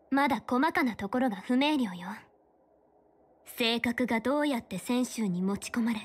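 An actress playing a stern young fortune-teller official in a game, speaking in a formal, measured, authoritative way. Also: female voice, fairly high pitch, slight background noise. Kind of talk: scripted game character dialogue in Japanese dub.

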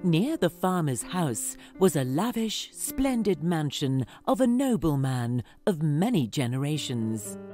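A woman narrating a fairy-tale cartoon in a slow, even storytelling manner. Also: sits low, soft background music.

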